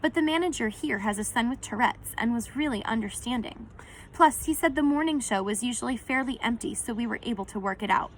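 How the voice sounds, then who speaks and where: A woman's voice: a little high, a little fast, slight background noise, close to an everyday voice, rough recording. A woman voicing a casual, easygoing mother in a storybook reading. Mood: reassuring.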